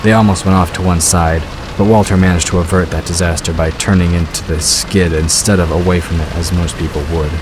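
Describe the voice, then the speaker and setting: A man's voice: low voice, fairly even intonation, fairly quick, hardly any pauses, noisy background. A man reading scripted story narration as the narrator of an audio drama. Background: rain and vehicle sound effects.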